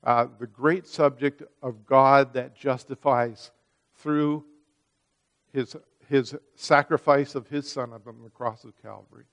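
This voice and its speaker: Man, preacher, sermon, earnest, restarts phrases, middle pitch. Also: steady tone, unhurried. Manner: earnest, repeats words as he restarts, rises and falls in loudness. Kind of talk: sermon with scripture reading.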